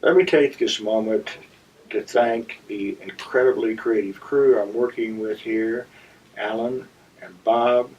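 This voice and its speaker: Husky man telling stories, slightly distant mic. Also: on the low side, fairly even intonation, darkish tone. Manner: deliberate, theatrical raconteur with dramatic pauses. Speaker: storyteller and host of a recurring story segment. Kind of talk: anecdotal monologue addressed to viewers. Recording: slightly distant, dull lo-fi sound.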